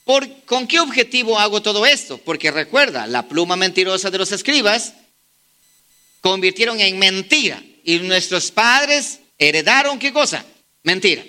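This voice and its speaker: Bright, high-pitched man preaching emphatically. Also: expressive, normal speed. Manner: emphatic, rhetorical questions to the congregation. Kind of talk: sermon.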